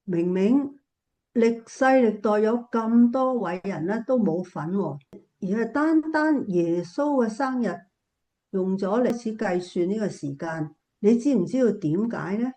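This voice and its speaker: Woman as a mellow, patient Cantonese relative. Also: mid-range voice, expressive, unhurried, natural voice. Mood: probing, patient. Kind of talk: radio drama acting.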